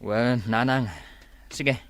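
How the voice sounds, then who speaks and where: A man acts as a worried, dutiful father in an audio drama. His mid-pitched voice is polite and earnest, recorded close and dry. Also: steady tone, extremely slow, clean tone, no echo. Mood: earnest, concerned.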